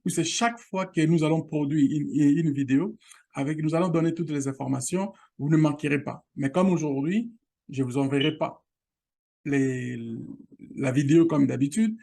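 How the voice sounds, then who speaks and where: A man whose first language is Lingala, hosting a casual screen-share tutorial, halting and restarting often, speaking straight to his viewers. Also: on the high side, vocal fry, mellow. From Congo region, Lingala first language. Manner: halting, with many restarts and fillers; direct appeals to viewers. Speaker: online course channel host.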